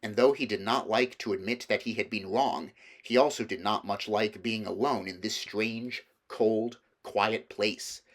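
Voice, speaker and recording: Male audiobook reader, fairly low voice, storybook narration. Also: unhurried, hardly any pauses.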